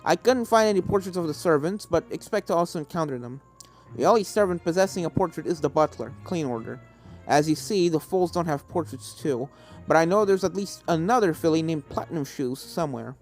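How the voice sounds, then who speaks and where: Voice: mid-range voice, animated, a little fast, faint music behind, natural voice. A narrator playing a formal, kindly pony professor. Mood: explanatory. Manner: explanatory, formal. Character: scholarly male pony professor, ghost researcher, kindly, serious.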